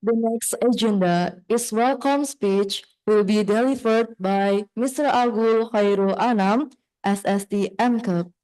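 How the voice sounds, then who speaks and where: A woman acting as master of ceremonies, announcing the next speaker slowly and deliberately. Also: medium pitch, mellow, poor audio. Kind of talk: formal event announcing.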